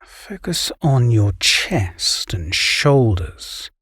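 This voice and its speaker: A man guiding a relaxation meditation, giving calm, soothing, measured instructions. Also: mid-range voice, breathy edge, dry recording, studio quality. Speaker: meditation guide. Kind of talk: guided relaxation meditation, scripted narration.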